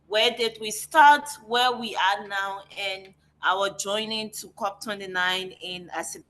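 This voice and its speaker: A woman's voice: mid-range voice, slow pace, sharp tone, rough recording. A woman with a Liberian accent, speaking as a facilitator on a video call. Her manner is friendly and upbeat. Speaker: facilitator and climate negotiator. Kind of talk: online presentation. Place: noisy spot on a call.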